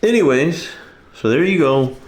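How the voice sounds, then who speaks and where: A man's voice: on the high side, lively intonation, measured pace. A man giving a casual, hands-on repair demonstration, talking through each step in a folksy, informal way. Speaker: repair video presenter. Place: kitchen, indoors.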